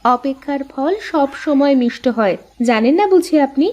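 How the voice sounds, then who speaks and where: A woman's voice: high voice, expressive, moderate pace, darkish tone. A woman voicing a sweet, respectful young cartoon bride who speaks in a coy, polite, teasing way. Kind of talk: cartoon voice acting.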